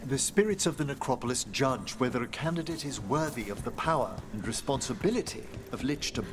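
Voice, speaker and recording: An actor playing a gentle, wistful, scholarly older necromancer in a fantasy video game, with formal, measured, eloquent phrasing. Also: male voice, medium pitch, expressive, noisy background, close to an everyday voice. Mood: grave. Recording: game music playing behind.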